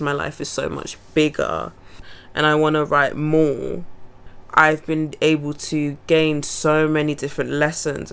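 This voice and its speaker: A woman's voice: low voice, creaky, fairly bright tone. A young woman, a singer-songwriter with a cold, chatting casually and rambling about her new song. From London. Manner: rambling, chatty, laughs at herself. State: has a cold, sniffling. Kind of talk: casual chat to camera.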